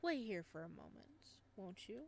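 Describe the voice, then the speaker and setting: A woman voicing a gentle, courteous male florist with a soft, polite manner, over faint music. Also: mid-range voice, big pitch swings, moderate pace, gravelly, slight background noise. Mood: tender.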